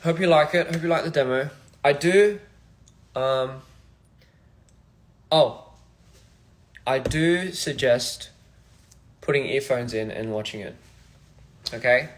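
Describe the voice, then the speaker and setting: A young man from Australia, a musician chatting casually on a phone livestream in a halting, rambling, soft-spoken way. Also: mid-range voice. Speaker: musician streaming live. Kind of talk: casual live-stream chat.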